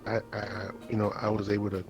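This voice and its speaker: A man hosting a heartfelt conversation, speaking earnestly and haltingly, with many restarts and repeated words. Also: lowish voice, monotone, gravelly, soft background music.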